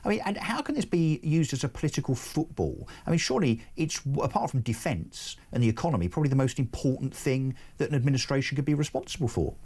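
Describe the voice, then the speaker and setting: A man's voice: mid-range voice, animated, fast, few pauses, darkish tone, slightly rough. A man hosting a live broadcast news interview, putting pressing questions and interjections to a guest.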